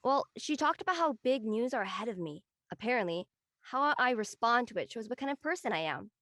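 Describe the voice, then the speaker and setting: A woman playing an earnest, defensive high school girl in a casual script reading. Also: expressive, brisk, fairly bright tone.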